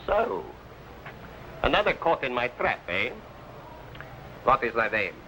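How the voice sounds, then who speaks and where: An imperious tyrant from an old adventure serial. The voice is forceful and very animated, mocking in grand archaic phrases. A faint layer of old broadcast noise sits over it. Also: male voice, mid-range voice, slow pace, full character voice. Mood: smug. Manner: theatrical, archaic speech, taunting. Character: cruel, haughty male governor, mocking and imperious tyrant. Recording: noisy old broadcast recording.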